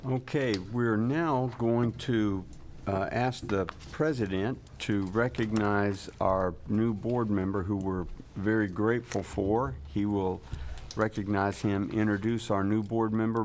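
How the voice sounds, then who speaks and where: A man's voice: on the low side, unhurried, a little mellow, slight background noise. A man chairing a college board of trustees meeting, formal and procedural.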